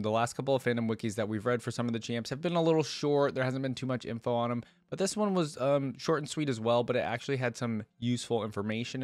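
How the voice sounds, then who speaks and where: A man's voice: medium pitch, dry recording. A man hosting a reaction video, reading lore aloud and thinking through it in casual commentary with rhetorical check-ins. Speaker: reaction channel host.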